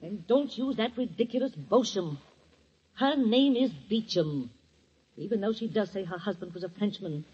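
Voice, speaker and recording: Brief: cutting, sarcastic schemer, very lively pitch, a bit husky, old radio sound. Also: female voice, normal speed. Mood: impatient. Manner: cutting, sarcastic, then honeyed. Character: sharp-tongued scheming woman, Sarah. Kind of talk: 1940s radio acting. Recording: dull old radio recording.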